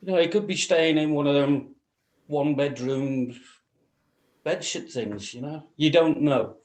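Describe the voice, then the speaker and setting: A man's voice: a little high, measured pace, darkish tone. A man giving a casual, blunt, colloquial critique as a member of a script panel. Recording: echoey room sound.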